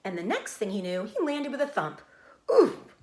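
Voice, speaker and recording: A woman narrates a children's book in the manner of a teacher reading to a class. Her medium-pitched voice is lively and varied, and the room gives it a noticeable echo. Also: brisk. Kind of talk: expressive storybook narration with brief explanations.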